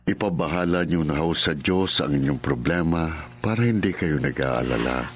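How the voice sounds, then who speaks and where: Filipino parish priest, deep voice, steady counselling delivery for radio drama. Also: male voice, music in the background. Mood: reassuring, firm. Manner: measured, counselling.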